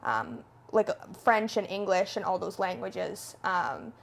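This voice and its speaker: A woman's voice: mid-range voice, very animated, slow, gravelly. Chatty young woman, fillers galore. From Canada. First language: Italian. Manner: chatty, peppered with "like", upbeat. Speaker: podcast guest, food reviewer. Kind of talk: casual podcast chat.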